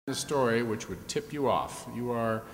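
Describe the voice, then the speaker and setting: A man's voice: moderate pace, natural voice. A man playing a chatty host of an old-time radio quiz show, leading contestants along with questions. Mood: brisk, explaining. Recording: echoey hall, faint rumbling noise.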